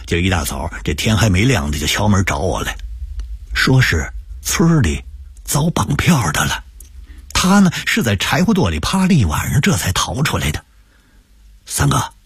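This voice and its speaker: A man voicing a fussy, impatient male relative who talks in quick, husky bursts. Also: no echo, clean studio sound, exaggerated voice. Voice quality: husky, fussy, swinging from high to low.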